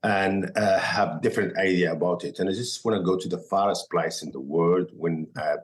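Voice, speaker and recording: Deep-voiced Moroccan man, halting English. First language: Arabic. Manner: halting non-native English, many fillers and restarts. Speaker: guest chef. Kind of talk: casual podcast interview.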